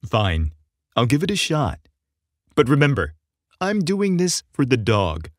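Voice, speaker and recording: Man reading as a wry, friendly young guy, lively pitch. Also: unhurried, no echo, studio quality. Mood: reluctant. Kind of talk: audiobook character dialogue.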